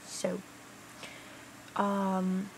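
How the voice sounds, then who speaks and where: A young Canadian-raised woman talks in a put-on British accent with a heavily creaky voice. She rambles in a tired, hesitant way, full of crude slang. There is clear noise behind her. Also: mid-range voice, completely flat delivery, very slow, low-quality recording. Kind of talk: casual rambling vlog.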